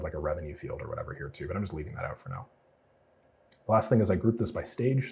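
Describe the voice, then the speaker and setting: A man getting over a cold, casually walking viewers through a software build in a tutorial, explaining as he goes with plenty of fillers. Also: low-pitched, husky. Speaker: automation freelancer teaching a client build. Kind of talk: screen-share tutorial walkthrough.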